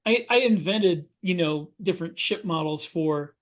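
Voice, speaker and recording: A man with a rather high voice talks as a podcast panelist over a video call. He explains casually and a little haltingly. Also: a bit bright. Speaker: podcast panelist and sci-fi author.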